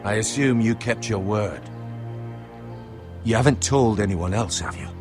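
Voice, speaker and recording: Grave, low-voiced male counsellor. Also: expressive, music in the background. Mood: secretive, grave. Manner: measured, guarded, solemn. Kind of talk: dramatic fantasy game acting.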